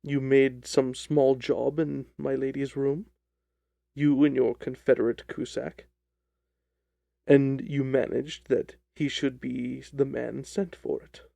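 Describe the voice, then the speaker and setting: Man acting commanding detective, stern, accusing, deliberate, dark creaky tone, storybook drama. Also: medium pitch, level tone, no room sound.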